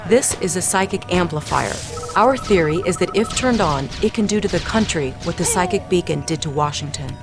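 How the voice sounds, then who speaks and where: Composed female army intelligence lieutenant for game cutscenes; lowish voice, crisp and businesslike, music underneath. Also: expressive, fairly quick. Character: composed young female military intelligence lieutenant briefing the commander. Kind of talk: video game cutscene mission briefing. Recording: music behind the voice.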